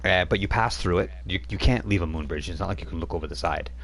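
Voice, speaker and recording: A man's voice: low voice, quick pace, a bit bright. A man narrating a tabletop game as game master, rambling with many fillers and restarts.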